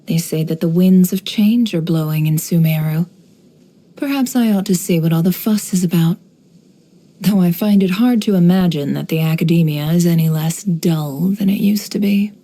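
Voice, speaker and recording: A shrewd young woman from a video game, with a lowish, dark and velvety voice. She speaks in a composed, measured way, guarded and wry, as if she knows more than she says. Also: rough recording. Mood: musing, calm. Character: cool, shrewd, guarded young desert-born woman running an information business. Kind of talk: scripted game character voice lines.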